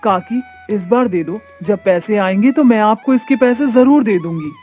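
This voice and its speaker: Woman playing a gentle young mother, music behind. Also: mid-range voice, normal speed, natural voice. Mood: grateful.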